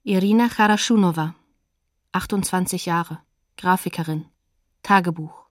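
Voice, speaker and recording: A woman's voice: on the low side, moderate pace, no echo, studio quality. A woman announcer reading sober, neutral source credits for a radio documentary drama in a factual manner.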